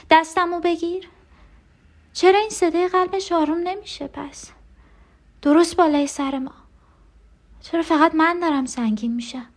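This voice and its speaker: An actress playing a frightened, tender and clinging twin sister in an emotional audio drama monologue. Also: female voice, extremely high pitch. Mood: distressed, insistent. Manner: intimate, emotional, pleading.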